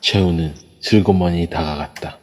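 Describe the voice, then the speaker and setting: A man narrating an audio drama in a steady, measured way. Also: sits low. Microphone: phone via a voice chat app. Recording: long reverb.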